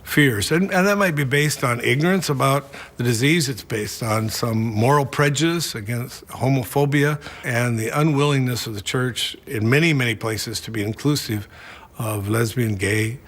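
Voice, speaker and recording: A man's voice: mid-range voice, normal speed, a bit bright. A man giving an earnest documentary interview, repeating words as he speaks. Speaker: church advocate.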